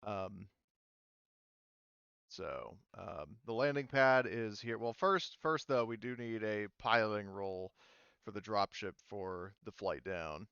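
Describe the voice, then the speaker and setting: A man's voice: lowish voice, lively intonation, slow pace, creaky, a bit bright, clear, resonant tone, no room sound, dull audio. A man acting as game master, explaining rules and thinking aloud, with many fillers as he checks things. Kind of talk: running a tabletop game online.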